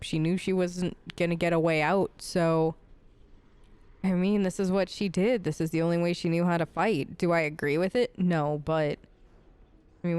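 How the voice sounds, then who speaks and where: A woman's voice: lowish voice, a little mellow, smooth, no room sound. A woman giving casual, playful gameplay commentary, chatting and reacting aloud. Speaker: gamer hosting a role-play let's play.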